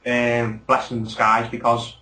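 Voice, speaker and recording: A man's voice: mid-range voice, completely flat delivery, normal speed, bright, forceful tone, echoey room, poor audio. A man hosting a casual podcast chat, rambling through his opinions and often asking for agreement. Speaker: podcast host.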